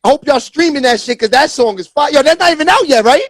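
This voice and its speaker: A man hosting a live stream in a slangy, excitable, hyped-up casual chat style. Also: extremely high pitch, fast talker, no room sound, clean studio sound. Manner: excitable, slangy, repeats hype phrases. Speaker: show host.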